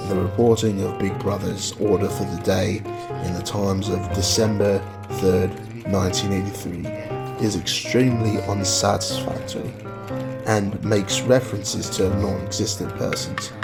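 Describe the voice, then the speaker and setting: A man acting out a low, flat official dispatch in an audio drama. The delivery is clipped and bureaucratic, with a gravelly edge. Loud music plays underneath. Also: a little slow. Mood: flat, stern. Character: flat, impersonal official Party message being read out.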